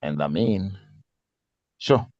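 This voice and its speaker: A man's voice: sits low, slow, no room sound. Man on dull, dry phone call audio. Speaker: first-time session participant. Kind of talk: casual chat. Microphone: phone on a video call.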